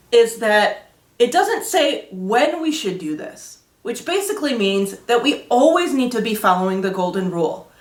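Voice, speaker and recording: A woman with a fairly high voice teaches children as a Sunday school host. She explains in simple, clear terms. The recording is roomy and echoey, picked up a little away from the microphone. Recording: roomy echo, distant microphone.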